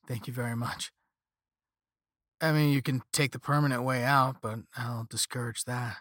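A man's voice: mid-range voice, steady tone, normal speed, fairly bright tone, dry recording, clean studio sound, lightly acted. A man voicing a flippant, easygoing young rich slacker in a story, with a casual slangy drawl and sarcastic half-apologies. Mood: smug, placating. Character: flippant, laid-back young rich slacker on a phone call.

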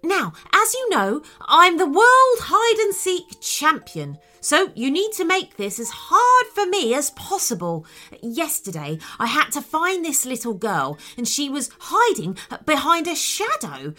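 A woman's voice: high-pitched, wildly swooping pitch, measured pace, sharp tone, voice pushed up, exaggerated voice. A woman voicing a proud, chatty male dog who boasts of being a hide and seek champion. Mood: boastful. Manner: boastful, hesitant fillers.

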